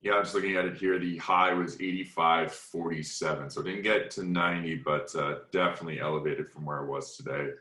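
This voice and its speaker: A man's voice: deep voice, steady tone, brisk, few pauses, bright, forceful tone. A man moderating questions as a portfolio manager on a financial conference call. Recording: roomy, echoing conference call.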